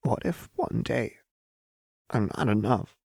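A man's voice: mid-range voice, lively intonation, slow pace, gravelly, a little mellow, no room sound, voice pushed up, full character voice. Audiobook role: volatile young woman read by a man, fragile, desperate, dry close recording. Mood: weak, fearful. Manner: snarling outbursts and weak muttering.

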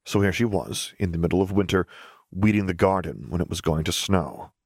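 A man's voice: low voice, a little fast, gravelly, slightly rough, no room sound, studio quality. A man reading a story aloud as scripted audiobook narration.

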